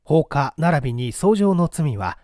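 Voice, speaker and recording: A man reading a mystery story aloud as dramatic literary narration, with deliberate, theatrical phrasing. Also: on the high side, big pitch swings, darkish tone, dry recording, clean studio sound. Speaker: story reader.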